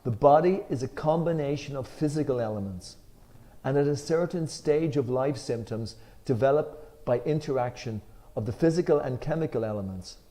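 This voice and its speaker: A man with an Irish accent leading a scripture discussion, reading a commentary aloud with emphasis and then explaining it in a relaxed way, with some fillers. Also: mid-range voice, moderate pace.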